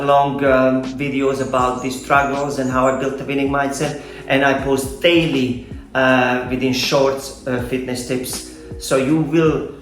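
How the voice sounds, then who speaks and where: A man's voice: medium pitch, a bit bright. A middle-aged man from Serbia with a Serbian accent, talking earnestly and hesitantly to viewers as an online coach hosting a personal vlog. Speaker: online fitness coach and video host. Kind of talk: personal vlog talk to camera. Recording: roomy echo, faint music behind.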